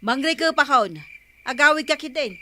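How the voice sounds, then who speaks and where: An actress playing a firm, scolding village storekeeper in a radio drama, with an Ilocano accent and a no-nonsense manner. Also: female voice, high-pitched, animated, moderate pace, pushed and bright, slight background noise, exaggerated voice.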